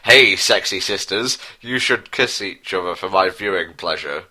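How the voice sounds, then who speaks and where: A man's voice: sits low, moderate pace, bright, forceful tone, exaggerated voice. A man voicing a boastful, flirtatious cartoon cat hero with stilted, overblown delivery in a comic dramatic reading.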